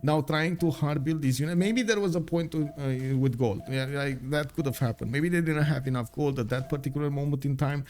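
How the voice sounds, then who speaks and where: A man's voice: a little high, steady tone, brisk, hardly any pauses, darkish tone. A young man whose first language is Romanian, commentating a strategy game live, with lots of fillers and upbeat chat with viewers. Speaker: game caster.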